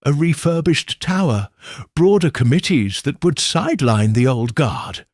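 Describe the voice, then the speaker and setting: A man voicing a cool, exacting middle-aged detective in an audiobook, speaking in a measured, coldly certain way with a husky voice. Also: fairly high pitch, animated, darkish tone, no echo, studio quality, pitched above the performer's own voice. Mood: explaining. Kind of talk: audiobook character reading.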